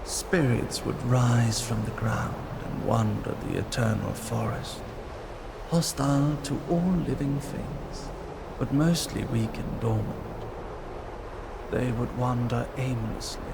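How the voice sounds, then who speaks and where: A man's voice: mid-range voice, slow, vocal fry, heavy background noise. A man narrating a fantasy visual novel in a dramatic, scripted storytelling style.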